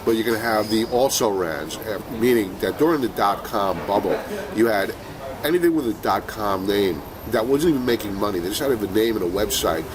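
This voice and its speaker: A middle-aged man with a cold, sniffling now and then, giving relaxed market commentary as a trading show host with lots of fillers. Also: fairly low pitch, brisk, a crowd in the background, rough recording. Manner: conversational, many fillers and restarts.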